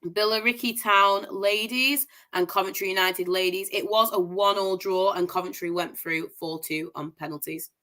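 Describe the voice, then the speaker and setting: A woman's voice: mid-range voice, steady tone, normal speed, few pauses, pushed and bright. A young woman from Manchester who sounds posh, hosting a casual football results show and reeling off scores with upbeat enthusiasm. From Manchester, England. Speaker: host of a women's football review show. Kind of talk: casual livestream results roundup.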